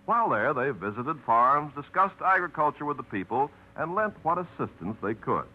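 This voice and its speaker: Brief: man announcer, very animated pitch, formal, muffled old radio. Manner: measured, declamatory. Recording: muffled 1950s radio broadcast, faint music.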